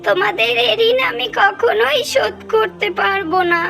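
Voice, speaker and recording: A woman's voice: very high voice, normal speed, gravelly, a bit bright, faint music behind, exaggerated voice. A woman voicing a helpless, grateful old beggar grandmother who gives blessings in a cartoon. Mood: tearful gratitude. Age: older. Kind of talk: scripted cartoon dialogue.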